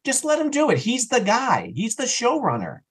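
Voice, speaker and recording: A man chatting casually and enthusiastically as an interview guest, rambling and animated, sometimes acting out bits of dialogue. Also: very high voice, moderate pace, husky. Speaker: interview guest, audio drama writer and actor.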